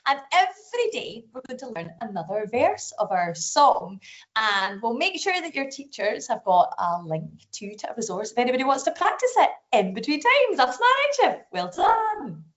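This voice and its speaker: A woman's voice: on the high side, big pitch swings, moderate pace. A Scottish woman hosting a live online lesson for young schoolchildren, upbeat and encouraging. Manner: upbeat, encouraging, sings call-and-response phrases. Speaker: festival director hosting a school session. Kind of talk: lively children's lesson presenting. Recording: lo-fi video call audio.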